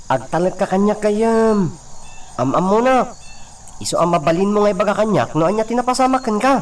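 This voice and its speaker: An actor playing a concerned, loyal friend who shelters a fugitive in an Ilocano radio drama. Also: male voice, sits high, lively intonation, fairly quick, distant mic.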